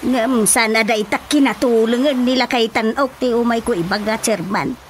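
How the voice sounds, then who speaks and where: A woman's voice: a little high, moderate pace, slight background noise, natural voice. A woman playing a brash, wheedling granddaughter in an Ilocano radio comedy drama, with an Ilocano accent. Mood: wheedling, eager. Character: brash, wheedling granddaughter petitioning the village chairman.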